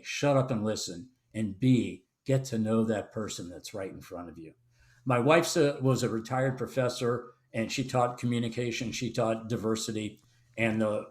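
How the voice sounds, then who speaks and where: An older man with a Southern US accent and a mid-pitched voice talks like a seasoned storyteller in a relaxed interview. He stops and restarts as he goes, slipping into quoted dialogue to act out his anecdotes. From Deep South, Georgia, United States. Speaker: professional storyteller, guest.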